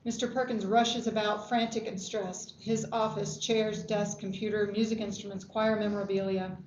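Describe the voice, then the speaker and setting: A woman's voice: mid-range voice, flat delivery, moderate pace, a little mellow. Echoey woman reading screenplay directions. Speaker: reader of stage directions. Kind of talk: screenplay table read narration. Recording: echoey, dull, faintly noisy room recording.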